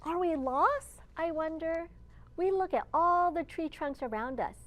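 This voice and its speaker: A woman librarian reading a picture book aloud for young children, with deliberate phrasing and questions put to her listeners. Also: very high voice, animated, fairly bright tone.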